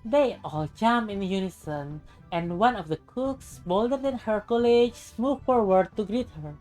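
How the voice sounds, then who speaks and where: A woman streamer narrating a visual novel aloud in a deliberate, expressive storybook style. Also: mid-range voice, low-quality recording. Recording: music behind the voice. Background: game music.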